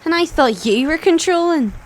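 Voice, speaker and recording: A woman's voice: extremely high pitch, expressive, moderate pace, a little hiss or hum. A young woman playing a feisty, easily astonished student who asks blunt questions in an audio drama. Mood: wary. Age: young adult.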